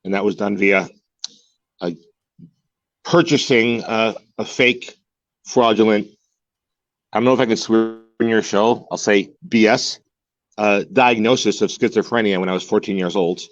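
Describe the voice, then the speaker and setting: A man's voice: on the low side, steady tone, measured pace, long pauses, no echo, highs cut off. A man in his forties, a guest in a candid interview, talking earnestly and repeating words as he searches for them. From United States. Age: 40s. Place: home in Mexico.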